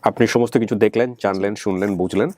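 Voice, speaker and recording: A man's voice: on the low side, fairly even intonation, rapid-fire, darkish tone. A man with a Bangladeshi accent speaking as a show host, earnestly explaining things to a guest and mixing in English words. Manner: mixes English words into speech. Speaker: host of a family reunion show.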